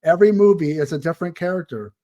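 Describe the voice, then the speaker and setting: A man's voice: on the high side, quick pace, mellow. A man hosting a casual interview, chatty and opinionated, with rambling asides. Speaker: podcast host.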